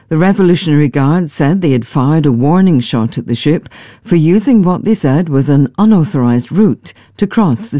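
A woman reading a formal radio news bulletin in a measured, even way. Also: low-pitched, dry recording, clean studio sound. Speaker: newsreader.

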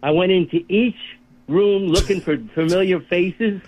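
A man's voice: high voice, fairly even intonation, a little slow, darkish tone. A man in his eighties calling in to a radio talk show, chatty and telling stories with laughs. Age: 80s. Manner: chatty storyteller, laughs at his own tales. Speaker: caller. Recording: phone line.

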